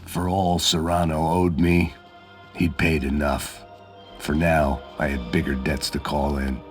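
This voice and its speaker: An actor playing a cynical, world-weary gunman, giving deadpan, bitter first-person noir narration in a game. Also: a bass voice, a little slow, far from the mic. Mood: grim, resolved. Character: world-weary, cynical male gunman narrating his own bleak story. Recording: loud music over the voice.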